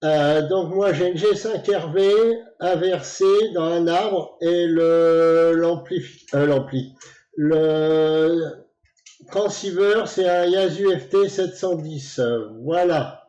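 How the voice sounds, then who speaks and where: A man talking deliberately as an amateur radio operator, casual, repeating himself as he signs in. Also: on the high side, fairly even intonation, mellow. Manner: deliberate, repeats his call sign. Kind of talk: casual radio contact chat. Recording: echoey, slightly dull room sound.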